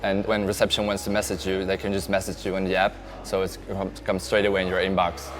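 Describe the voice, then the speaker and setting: A man's voice: deep voice, monotone, fast, over music. A nineteen-year-old man from the Netherlands with a Dutch accent, giving a casual testimonial as a backpacker.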